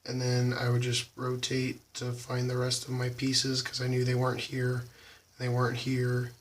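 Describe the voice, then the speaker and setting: A slightly hoarse young man casually talking through how he solves a puzzle cube, halting with lots of ums. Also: mid-range voice, completely flat delivery, recorded from a distance, slight background noise. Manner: halting, many ums, soft and quiet. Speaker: YouTube cubing channel host. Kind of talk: casual tutorial walkthrough.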